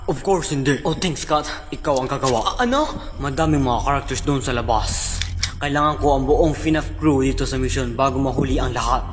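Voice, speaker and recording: A man's voice: mid-range voice, brisk, long reverb tail, background noise, close to an everyday voice. An actor playing a serious adult male leader who explains a dangerous mission, in a fan dub. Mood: grave. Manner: grave, explaining. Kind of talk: Tagalog fan dub acting.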